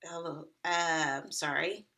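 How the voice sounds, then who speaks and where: A woman's voice: sits low, steady tone, takes it slowly, gravelly, pushed and bright, exaggerated voice. A woman reading a dry, blunt thirty-something woman. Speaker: actor playing Megan. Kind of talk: table read of a comedy pilot.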